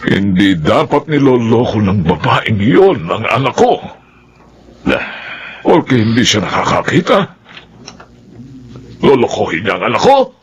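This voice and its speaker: A man's voice: fairly low pitch, very animated, unhurried, long pauses, slight background noise. A man playing a stern, commanding middle-aged father who employs maids, in a radio drama. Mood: angry.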